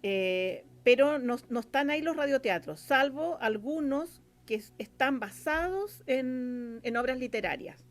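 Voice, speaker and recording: Chilean female guest, slightly high voice, casual and colloquial, thinks aloud while explaining. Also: lively intonation, a little slow, few pauses. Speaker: interview guest, radio drama scriptwriter and producer.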